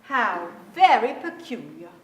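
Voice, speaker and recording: A woman's voice: on the high side, very animated, slow, a little hiss or hum. A woman playing an anxious, genteel, disapproving mother in a theatrical staged reading. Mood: pointed disapproval. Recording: echoey theater, picked up from far off.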